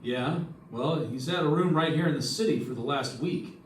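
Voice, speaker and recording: A man's voice: a little high, moderate pace, slight background noise, close to an everyday voice. Man playing terse radio detective in echoey room. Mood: pressing. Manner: terse, pointed. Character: hard-boiled male investigator narrating and questioning suspects. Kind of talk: old-time radio detective drama performed live. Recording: echoey room, distant mic, music.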